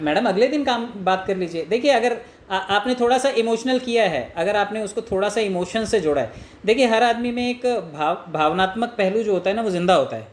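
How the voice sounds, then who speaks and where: Man coaching sales in an echoey room. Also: sits high. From India. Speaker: network marketing trainer. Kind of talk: informal sales coaching with role-played call examples. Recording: echoey room with faint noise.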